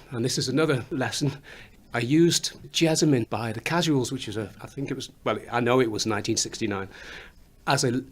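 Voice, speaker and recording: A songwriter with a slight Liverpool accent and a fairly high voice, chatting in a studio interview and laughing often as he explains how he writes songs. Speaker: songwriter and musician. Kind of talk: radio interview chat. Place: recording studio.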